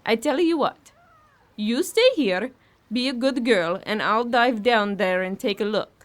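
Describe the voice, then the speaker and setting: A woman's voice: on the high side, expressive, measured pace. An actress playing a tough, sardonic Bulgarian woman minding a child in an audio horror drama, coaxing and wry. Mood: falsely sweet.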